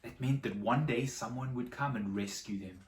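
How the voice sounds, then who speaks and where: A storyteller telling a Bible story to children, with dramatic pauses and repetition for emphasis. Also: mid-range voice. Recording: echoey room, distant microphone.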